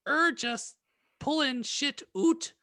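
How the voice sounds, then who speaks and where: A woman's voice: high voice, slow, bright, forceful tone, no room sound, studio quality, full character voice. A woman playing an angsty, foul-mouthed goth teenage girl in a melodramatic, slangy comic reading. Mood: aggrieved, gloomy.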